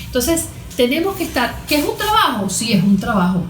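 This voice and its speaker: A woman's voice: sits high, big pitch swings, a little fast. A woman speaking as a guest therapist in an interview, explaining emphatically with rhetorical questions. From Venezuela. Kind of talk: conversational interview answers on parenting. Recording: roomy, echoing sound.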